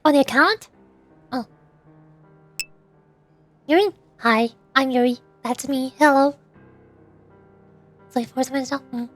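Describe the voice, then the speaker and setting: High-voiced young-sounding woman streamer, hesitant. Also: fairly even intonation, soft background music. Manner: hesitant, halting, self-deprecating. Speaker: livestreamer, VTuber voice actor. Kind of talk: casual livestream chat while playing a game.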